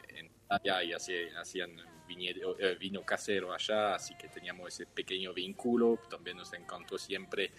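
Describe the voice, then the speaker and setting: A young Swiss man, a wine entrepreneur, answering interview questions in a careful, halting way as he searches for words in a language that is not his first. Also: low-pitched, steady tone, fairly bright tone. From Switzerland.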